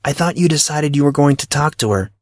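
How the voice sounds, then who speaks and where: A man's voice: a little high, animated, quick pace, no room sound, studio quality, natural voice. A man voicing a puzzled, skeptical fourteen-year-old schoolboy, questioning and sarcastic, in an audio drama. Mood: pressing. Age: teenager. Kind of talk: audio drama character reading.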